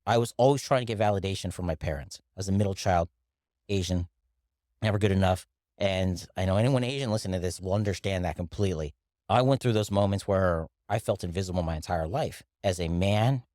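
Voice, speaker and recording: A middle-aged man from the Philippines talks as a guest about mindset and wellness. His voice sits low, and he speaks earnestly, slowing down to stress points. The recording is dry and close. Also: darkish tone, no echo. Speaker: interview guest, wellness coach. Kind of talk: reflective interview talk.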